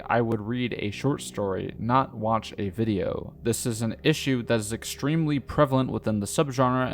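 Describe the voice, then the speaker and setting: A man's voice: medium pitch, slight background noise. A man delivering scripted review narration as a video essayist, opinionated and given to emphatic wording. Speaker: video essayist, channel host.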